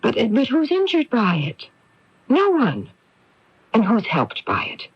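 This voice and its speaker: An actress playing a worldly, evasive, sharp-tongued middle-aged newspaperwoman, with theatrical emphasis, in a 1980s radio mystery. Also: on the high side, wildly swooping pitch, slow, husky, heavily acted. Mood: persuasive, defensive. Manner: theatrical, emphatic, repeats words. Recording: dull, dry lo-fi radio broadcast.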